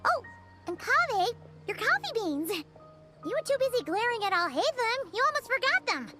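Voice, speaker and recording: Tiny fairy sidekick for a game: sky-high squeak, bouncing intonation, cheerful and childlike. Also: female voice, sing-song, normal speed, over loud music. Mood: cheerful, teasing. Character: tiny, chirpy, childlike floating fairy companion. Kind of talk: video game character acting.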